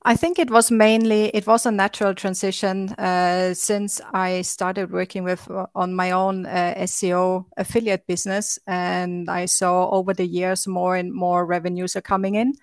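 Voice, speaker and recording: A woman answers interview questions in a flat, steady tone. Her low voice carries a gravelly edge, and she pauses often with fillers and restarts. The sound is dry and close. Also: no room sound. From Austria. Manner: hesitant, many fillers and restarts. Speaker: guest entrepreneur.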